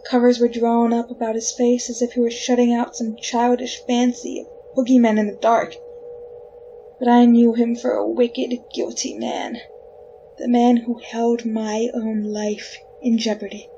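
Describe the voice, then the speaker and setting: A woman playing a wrongly condemned, desperate soul in an old-time radio horror drama, with a halting, pleading delivery. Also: fairly high pitch, flat delivery, mellow, lo-fi sound, slight background noise. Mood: haunted, reluctant.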